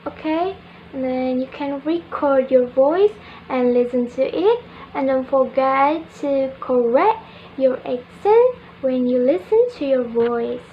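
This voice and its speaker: A young woman with an Indonesian accent, a university student giving a prepared spoken reflection in English, slow and careful, calling her listeners "guys". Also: high-pitched, animated, dull audio, slight background noise. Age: young adult.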